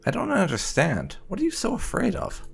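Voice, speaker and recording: A man giving casual, expressive, conversational video game commentary with some light roleplay. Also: high-pitched, moderate pace, gravelly, slight background noise. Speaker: gaming channel host. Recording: faint game music behind.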